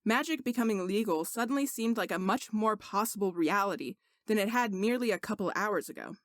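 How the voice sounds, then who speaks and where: A woman's voice: medium pitch, brisk, dry recording. A woman reading scripted fiction aloud as an audiobook narrator.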